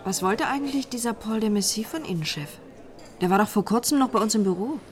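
A woman's voice: mid-range voice, expressive, fast talker, close to an everyday voice. An actress playing a curious, teasing secretary who quizzes her boss in a radio crime drama. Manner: inquisitive, teasing.

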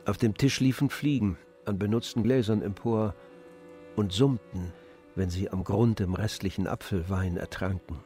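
A man narrating a literary radio play in a measured, composed manner. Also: fairly low pitch, mellow. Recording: dry studio with faint music.

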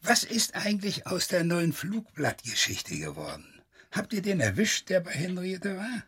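A stubborn old farmer dad, super raspy, high-ish voice that swings around, radio play. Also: male voice, wildly swooping pitch, moderate pace, fairly bright tone, exaggerated voice. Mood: nagging, prying. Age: older. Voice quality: gravelly, husky. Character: grumbling, stubborn old farmer father, nostalgic for harsher times. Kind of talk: German radio drama acting.

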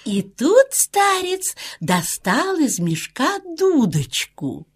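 A woman's voice: high-pitched, huge pitch swings, very slow, exaggerated voice. A woman voicing a kindly auntie squirrel who tells tales with rapturous expression in a children's audio play. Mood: animated storytelling.

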